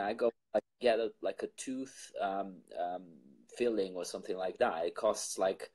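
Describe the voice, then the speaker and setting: Husky low-voiced male interviewer. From Bosnia. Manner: relaxed, rambling with fillers. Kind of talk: casual interview chat.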